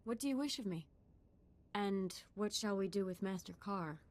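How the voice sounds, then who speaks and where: A woman's voice: mid-range voice, lively intonation, normal speed, close to an everyday voice. An actress playing an intense, idealistic young female Jedi apprentice in a video game, with a troubled, earnest and emotional delivery. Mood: resolved. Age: young adult.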